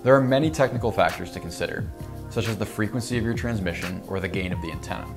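A man's voice: lowish voice, fast. Young man explaining radio range. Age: young adult. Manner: clear, even, informative delivery. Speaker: company presenter. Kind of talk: scripted product explainer. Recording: music bed underneath.

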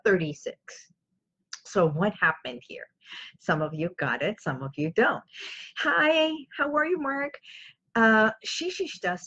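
A woman's voice: low-pitched, slightly rough, highs cut off. A woman in her fifties from Iran, a native Armenian speaker, gives an informal motivational talk to camera as host, explaining emphatically with rhetorical questions. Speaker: host of a self-help live show.

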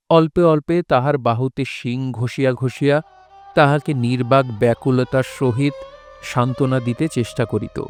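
A man reading a scripted literary story as narrator, in measured, formal diction. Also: medium pitch, darkish tone. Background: faint music.

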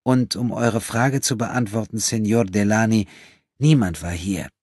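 An audiobook nun, prim and righteous, played by a male reader. The voice is pitched well above his own and a little breathy, with a pointed, defensive edge. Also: measured pace, darkish tone, dry recording, clean studio sound. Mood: indignant, defensive. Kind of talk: audiobook character acting.

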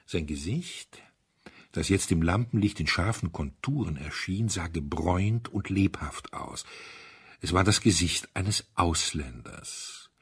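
A man narrating a mystery story from a script in an expressive, dramatic storytelling manner. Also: deep voice, measured pace, slightly rough. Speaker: audiobook narrator.

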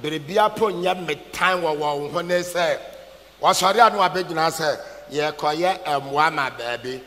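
Older ghanaian guy preaching, repeats phrases, highish voice, long hall reverb and a bit of noise. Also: a little slow, pushed and bright. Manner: emphatic, repeats key phrases, calls for responses. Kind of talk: sermon. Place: large packed church auditorium, on stage. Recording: echoey large hall PA, background noise.

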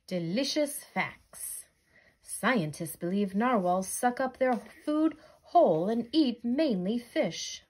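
A woman's voice: fairly high pitch, expressive, slow, darkish tone, slightly rough, low-quality recording. A middle-aged American woman reading a children's book aloud like a teacher. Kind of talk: children's storybook read-aloud.

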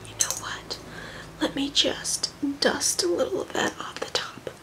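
Woman playing a whispering, vain, tipsy rich mom. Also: sits high. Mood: flattering, tipsy. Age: young adult. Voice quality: breathy whisper.

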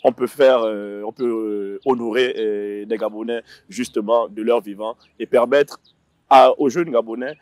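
A man's voice: fairly low pitch, fairly even intonation, unhurried, a little mellow, no room sound. A man in his thirties, a guest on a panel, speaking with many fillers and restarts, recorded outdoors on a lapel mic. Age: 30s. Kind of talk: panel discussion. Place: outdoor set on a city square.

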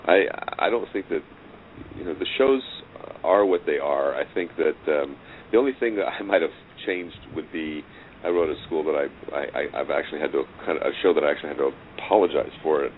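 A middle-aged man speaking as an interview guest, reflecting casually in a halting, thoughtful way. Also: rumbling low. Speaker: interview guest, radio drama writer. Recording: noisy, muffled phone-like line.

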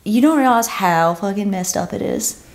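A woman's voice: medium pitch, animated, normal speed. A woman in her early thirties co-hosting a casual book chat, blunt and opinionated, swearing casually. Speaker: podcast co-host. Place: living room couch, indoors.

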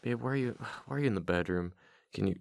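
A man playing a playfully exasperated, affectionate husband in a boyfriend ASMR roleplay, with a deep, husky voice and a halting, casual way of talking. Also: a little mellow, no room sound. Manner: halting, casual, muttering with repeated words.

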